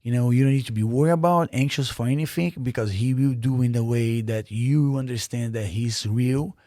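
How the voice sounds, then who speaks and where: Man, Brazilian Portuguese accent, native Portuguese speaker, halting earnest talk, medium pitch, dry close sound. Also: warm tone, dry recording. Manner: earnest, halting, many fillers and restarts. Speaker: podcast guest. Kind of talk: casual interview.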